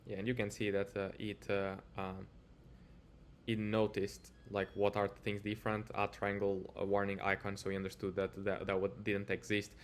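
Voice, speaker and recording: Lower-pitched man with a Portuguese accent. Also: monotone, normal speed, a little hiss or hum. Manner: informal, hesitant, frequent "like" as filler. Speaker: software developer presenting a tutorial. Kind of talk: casual screen-share tutorial.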